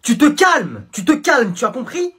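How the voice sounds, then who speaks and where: A man's voice: very high voice, huge pitch swings, moderate pace, pushed and bright, husky, voice pushed up, heavily acted. A young man voicing a bossy, scolding female schoolteacher in a comedy sketch. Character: shrill angry female schoolteacher.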